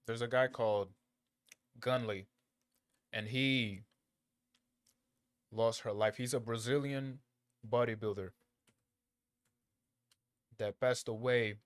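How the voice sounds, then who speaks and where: A man from Angola who grew up in Portugal, a native Portuguese speaker, giving casual, unscripted commentary in a blunt, slangy, halting way, often repeating his phrases. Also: medium pitch, fairly even intonation, clear, resonant tone, no room sound, studio quality. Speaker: reaction video creator.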